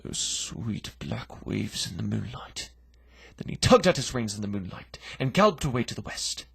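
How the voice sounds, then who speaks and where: A man giving a theatrical, dramatic poetry recitation in a breathy, gravelly voice, moving between hush and forceful declamation. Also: on the low side, very animated, moderate pace, no room sound, clean studio sound. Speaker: poetry reader.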